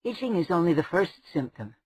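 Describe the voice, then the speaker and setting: A man voicing a sharp-tongued, scornful adventuring woman with a dark, wry manner. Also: sits high, sing-song, fairly quick, husky, no room sound, voice pushed up, full character voice, low-quality recording. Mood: wryly menacing.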